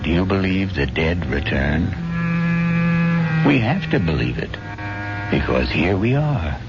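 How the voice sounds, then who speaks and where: An older man closing a radio mystery episode with a slow, grave delivery. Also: deep voice, very animated. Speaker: host and narrator. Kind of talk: scripted radio host narration. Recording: dull old radio broadcast with music.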